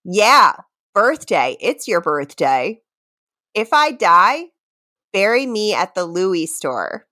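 Animated woman, slow teasing delivery. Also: mid-range voice. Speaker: podcast host. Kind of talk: casual comedic chat with dramatic readings of song lyrics.

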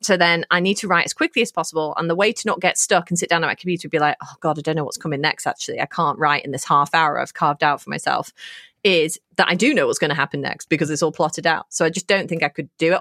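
A woman's voice: deep voice, quick pace, a bit bright, dry recording, clean studio sound. A woman guest author talking casually in an interview, giggly and self-deprecating, with many restarts.

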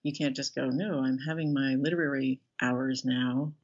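A middle-aged American woman being interviewed as an author, speaking thoughtfully and haltingly as she searches for words. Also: extremely low pitch, steady tone, a little mellow, slightly rough, highs cut off. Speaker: interview guest, author and professor. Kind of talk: reflective interview conversation.

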